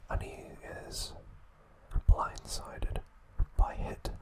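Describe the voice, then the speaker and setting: A man narrating an ASMR recap as host, speaking in a soft, breathy whisper with a gentle, halting delivery.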